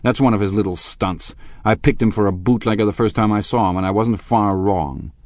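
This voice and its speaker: A man voicing an angry, scornful husband in a storybook, forceful, accusing and emphatic. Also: on the low side, a little fast, dry recording, clean studio sound, pitched above the performer's own voice, close to an everyday voice.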